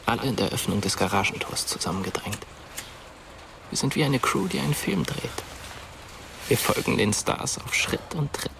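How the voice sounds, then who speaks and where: A man playing a watchful, bored teenage ghost who narrates a radio play in a hushed, deliberate way. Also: lowish voice, sing-song, vocal fry, background noise.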